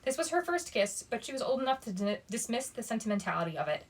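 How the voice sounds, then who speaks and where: A woman's voice: a little high, very animated, fast. Woman reading a story aloud. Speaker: reader of a bedtime story. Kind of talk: expressive audiobook-style narration of young adult fiction.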